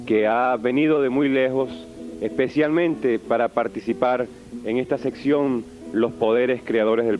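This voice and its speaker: Old radio tape of a guy interviewing, medium pitch, super slow and deliberate, bit of music. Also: highs cut off. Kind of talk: 1980s guerrilla radio interview.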